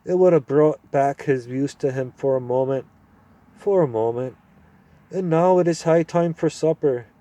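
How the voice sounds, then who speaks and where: A man voicing a deaf, irritable old male dwarf who grumbles loudly and impatiently, in a storybook reading. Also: a little high, normal speed, dull audio, pitched above the performer's own voice, natural voice. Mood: welcoming.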